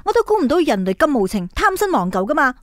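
Vain, high-voiced bossy ghost starlet. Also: female voice, sing-song, fast, fairly bright tone. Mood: bitter, resigned. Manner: dramatic, boastful. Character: vain, bossy female ghost, a faded star. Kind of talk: comic radio drama acting.

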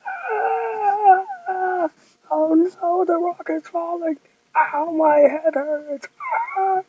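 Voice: very high voice, steady tone, very slow, voice pushed up, heavily acted. A performer voicing a clumsy hero who keeps getting hurt, whimpering and crying in pain, on a dull lo-fi home recording. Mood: wailing.

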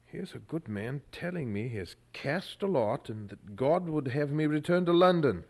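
An actor playing a devout, thoughtful young preacher in a radio drama, speaking formally and earnestly. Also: male voice, on the high side, animated, moderate pace, darkish tone, husky. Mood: pondering.

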